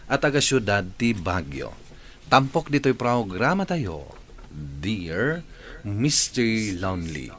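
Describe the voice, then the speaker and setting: A man with a Filipino accent hosting a radio drama, speaking in an expressive presenter style. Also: mid-range voice, slight background noise. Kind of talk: expressive radio advice and narration.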